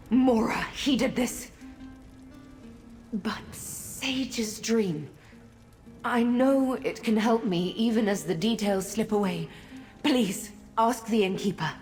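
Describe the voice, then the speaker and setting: Actress as a haunted, echoing goddess, slow and faltering. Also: female voice, mid-range voice, darkish tone, close to an everyday voice. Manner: halting, faltering, cryptic. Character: disoriented Daedric prince goddess, haunted, fragile, pleading. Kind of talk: scripted video game character acting. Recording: long reverb, music behind.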